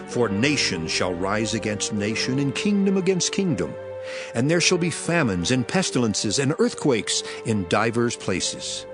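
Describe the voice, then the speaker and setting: Authoritative prophet, low voice, lively intonation, solemn, measured, declamatory, scripture drama. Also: male voice. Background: background music.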